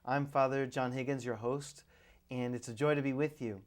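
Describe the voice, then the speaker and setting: A middle-aged man, a priest, hosting a public access television interview, speaking in measured introductions with occasional fillers. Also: medium pitch, steady tone.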